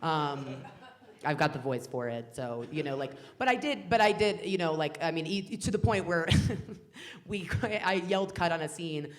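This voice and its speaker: A woman in her thirties, a film director talking casually on a festival panel, rambling with many fillers and restarts and animated quoting. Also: low-pitched, normal speed. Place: festival cafe stage.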